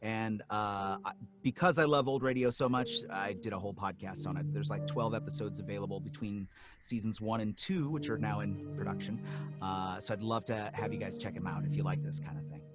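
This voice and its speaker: A man's voice: on the low side, steady tone, a little fast, over loud music. A man who is a voice actor, chatting casually on a live stream and praising his castmates. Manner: enthusiastic, effusive with praise. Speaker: voice actor guest. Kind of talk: casual chat.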